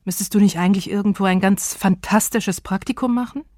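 A woman's voice: mid-range voice, brisk, darkish tone, no room sound, natural voice. Sarcastic young woman, defensive, curt retorts, read by a woman, dry close sound.

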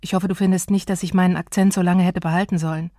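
A woman's voice: on the low side, flat delivery, fast talker, dark tone, dry recording, lightly acted. Sarcastic young woman, defensive, curt retorts, read by a woman, dry close sound.

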